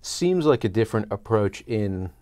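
A man's voice: lowish voice, very animated, moderate pace, warm tone. A man in his thirties hosting an interview, phrasing his questions in a tentative, searching, conversational way. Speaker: podcast host, interviewer. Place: small indoor podcast studio.

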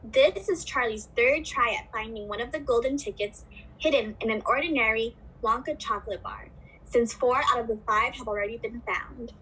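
A woman's voice: a little high, moderate pace, fairly bright tone, husky, rough recording. A woman giving scripted narration for a puppet show as its narrator.

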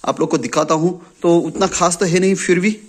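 A man's voice: high-pitched, normal speed, no room sound. A man in his thirties, a vlogger chatting casually while he unboxes and shows camping gear, with many fillers and restarts. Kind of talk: casual product unboxing vlog. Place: indoors at home, later on an open rooftop outdoors.

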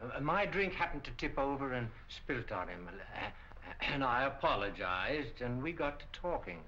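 Hesitant older man, bright-voiced. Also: mid-range voice, very animated. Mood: mild, polite. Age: 60s. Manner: hesitant, with many pauses. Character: mild, hesitant older male witness. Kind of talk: 1940s film acting. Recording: dull, noisy 1940 film soundtrack.

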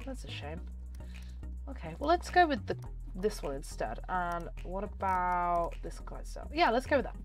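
A young woman with a cold, hoarse and chatty, commenting on a horse game she plays at home into a desk mic. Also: lowish voice, sing-song, moderate pace, soft background music. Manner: chatty, talks to the game and viewers. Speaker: gaming channel host. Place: home room, indoors. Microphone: desk microphone on stand.

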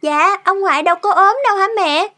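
A woman's voice: very high-pitched, a little fast, pushed and bright. A woman playing a caring, curious young granddaughter in a cartoon, asking things in a concerned, plaintive way. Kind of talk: cartoon dialogue acting.

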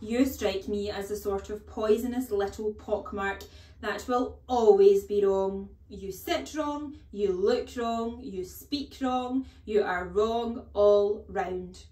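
Woman doing a tyrannical headmistress, loud, barking, slow and contemptuous, storybook villain, echoey room. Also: mid-range voice, fairly even intonation, close to an everyday voice. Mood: barking, scornful. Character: bullying, booming female headmistress. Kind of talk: audiobook character voice.

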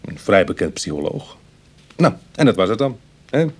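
A man's voice: lowish voice, very animated, moderate pace, breathy edge. An actor playing a self-deprecating ex-burglar in his thirties, talking dryly and colloquially in a Dutch radio play. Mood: wry. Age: 30s.

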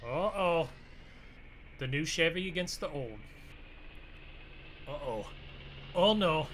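A man bantering in an improvised gaming roleplay, excitable and full of exclamations, often urging others on. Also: fairly high pitch, slow pace. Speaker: gaming video creator playing a truck roleplay. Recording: faint background noise.

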